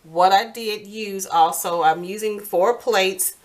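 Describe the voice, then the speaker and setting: A woman hosting a nail art tutorial in casual, step-by-step talk, halting and pausing mid-sentence. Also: mid-range voice.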